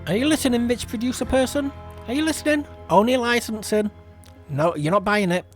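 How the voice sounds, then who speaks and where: A man's voice: extremely high pitch, fairly quick, soft background music. A man hosting a casual podcast as a creator, rambling and self-deprecating. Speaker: podcast host and audio drama creator. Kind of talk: casual chat.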